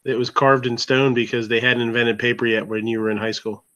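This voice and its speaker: A man's voice: mid-range voice, flat delivery, fairly quick, a bit bright, highs cut off. A man chatting casually and teasingly with a group of friends.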